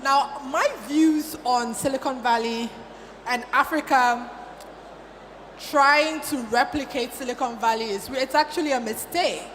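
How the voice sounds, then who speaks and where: A woman makes her argument point by point in a high voice. She sounds assertive and clear. The recording has hall reverb and a faint noise floor. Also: fairly bright tone. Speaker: panelist, entrepreneur. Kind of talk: panel discussion.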